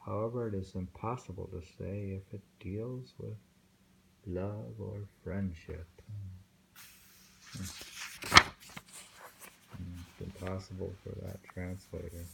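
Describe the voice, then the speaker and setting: A man reading poems aloud and commenting casually in a slow, musing way, with thoughtful hums. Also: low voice, fairly even intonation, creaky, background noise. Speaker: reader of translated poetry.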